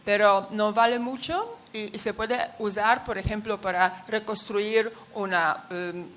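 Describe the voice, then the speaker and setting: A woman's voice: mid-range voice, unhurried, a bit bright, a little hiss or hum. A woman who is not a native speaker talks in a conference Q&A, often searching for words. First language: not Spanish. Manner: searching for words, many fillers. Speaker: audience member asking a question.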